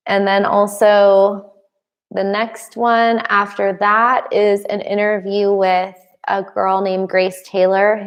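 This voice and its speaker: Chatty woman with a gravelly edge. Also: mid-range voice. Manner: chatty, reads viewer comments aloud and answers them; laughs often between remarks. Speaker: live stream host. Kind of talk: casual live Q&A chat.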